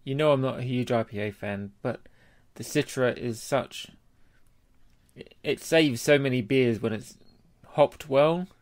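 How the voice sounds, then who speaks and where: A man with an average-pitched voice speaks as a podcast co-host. He gives his opinions in relaxed, informal conversation, as in a casual review. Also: measured pace. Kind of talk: casual chat, beer review.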